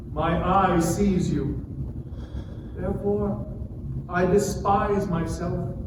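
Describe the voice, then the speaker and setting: A man playing a humbled, repentant sufferer in a dramatic scripture reading, speaking solemnly and submissively. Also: high-pitched. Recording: echoey hall, distant mic, background noise.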